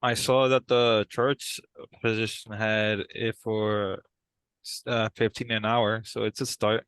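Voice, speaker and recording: A man playing a reticent, agreeable brother in an improvised session over a video call, speaking haltingly. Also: fairly low pitch, level tone, fairly bright tone, clean tone. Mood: mild, tentative. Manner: halting, hesitant. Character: reticent brother, an aspiring drummer, mild and agreeable. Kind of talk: improvised role-play dialogue.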